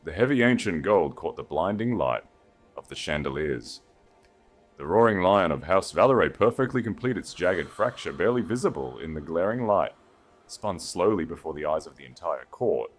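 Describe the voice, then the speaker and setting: A man's voice: low-pitched, lively intonation, moderate pace, faint music behind. A man reading a scripted romantic fantasy tale as a dramatic audiobook narrator.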